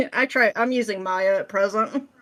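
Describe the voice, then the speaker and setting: A woman with a mid-pitched voice talks as a guest on a podcast. Her manner is informal and playful, full of slang and joking asides. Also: a little slow, fairly bright tone. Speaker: podcast guest, script writer. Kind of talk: casual chat.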